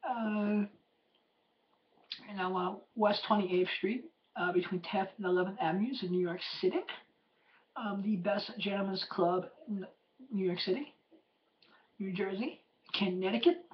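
A hoarse man hosting his podcast from a room at home, talking in a loose, chatty way full of fillers and plugs. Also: high voice, steady tone, measured pace, long pauses, lo-fi sound. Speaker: podcast host. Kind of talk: casual rambling podcast monologue.

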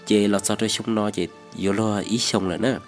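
A man giving a relaxed, conversational vlog narration. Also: on the low side, quick pace, faint music behind. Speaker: vlogger.

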